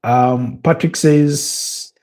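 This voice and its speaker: A man's voice: on the high side, steady tone, very slow, warm tone. A man with a Ugandan accent hosting a live football watch-along, chatting casually and reading viewer comments with lots of filler sounds. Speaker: livestream host.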